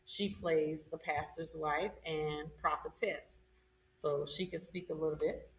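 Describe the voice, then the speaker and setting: Deep-voiced woman, echoey and distant. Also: fairly even intonation, a little slow. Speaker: show presenter introducing cast. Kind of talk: unscripted introductions. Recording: echoey, distant mic, background noise.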